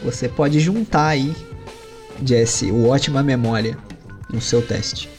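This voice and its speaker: A Brazilian man narrates a tabletop game as its game master, in a mellow, relaxed voice of middle pitch. He tells the scene with animated jumps in pitch, and faint music plays behind him. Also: measured pace. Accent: Brazilian Portuguese. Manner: animated storytelling with sudden lifts in pitch.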